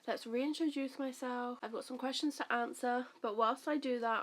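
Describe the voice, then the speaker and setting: A woman in her twenties, stuffy and nasal from the flu, rambling casually as she does her makeup and answers questions for her channel. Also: a little high. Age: 20s. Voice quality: nasal, congested. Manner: rambling, self-deprecating, chaotic. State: recovering from flu. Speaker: vlogger. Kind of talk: chatty get-ready-with-me vlog. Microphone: camera facing her while doing makeup at a mirror.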